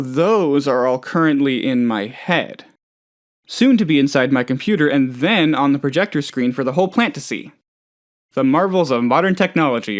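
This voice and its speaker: A man voicing a friendly, insecure, gullible plant boss in a video game, chatty and hesitant in an earnest, folksy way. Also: on the high side. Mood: nervously upbeat. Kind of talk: video game character voice lines.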